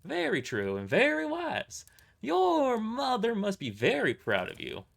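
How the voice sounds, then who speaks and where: A man's voice: sits high, huge pitch swings, unhurried, vocal fry, fairly bright tone, voice pushed up, heavily acted. A man voicing a chatty, doting older female receptionist with a folksy rural twang in an audio drama. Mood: sweet, chatty. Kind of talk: amateur audio drama character voice.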